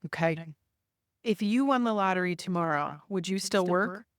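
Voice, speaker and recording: A woman's voice: on the low side, moderate pace, clean tone, no echo, clean studio sound. A woman hosting a podcast interview, asking questions in a friendly, upbeat way. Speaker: podcast host.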